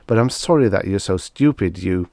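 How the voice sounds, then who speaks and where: A man's voice: low voice, lively intonation, moderate pace, vocal fry, warm tone, dry recording. Man acting a cocky, flippant human prisoner. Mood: mock innocent. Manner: mock-innocent, flippant, sneering. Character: cocky, defiant male human prisoner taunting his alien captors. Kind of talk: pulp science fiction audiobook character.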